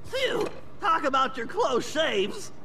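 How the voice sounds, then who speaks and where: An actor playing a brainy, fussy young turtle hacker with a nasal voice in an animated video game. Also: male voice, very high voice, takes it slowly, vocal fry, slight background noise, full character voice. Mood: fussy, smug. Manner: fussy, precise, self-satisfied. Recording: game music behind the voice.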